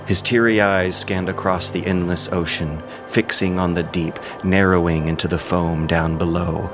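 A man with a creaky voice giving scripted, dramatic narration of epic poetry. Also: low voice, fairly even intonation, moderate pace. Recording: music behind the voice.

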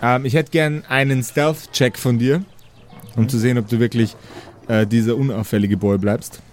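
A man runs an improvised tabletop game as game master. He shifts between narration and casual banter at a middling pitch. There is some noise behind his voice. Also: steady tone, moderate pace, a little mellow. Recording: clearly audible background noise.

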